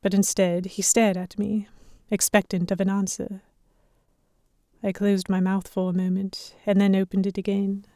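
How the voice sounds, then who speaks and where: A sharp-tongued young lady played by a woman tells her own tale in a historical pirate audio drama. Her narration is expressive and introspective, at a medium pitch. The sound is dry and intimate, with no room on it. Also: normal speed, no room sound, lightly acted. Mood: startled, hesitant. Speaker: narrator and lead character.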